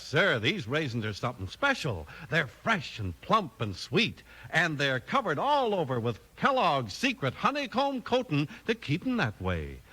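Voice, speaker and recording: Bright, animated American radio announcer. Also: male voice, fairly high pitch. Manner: eager, folksy, emphatic. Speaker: announcer and narrator.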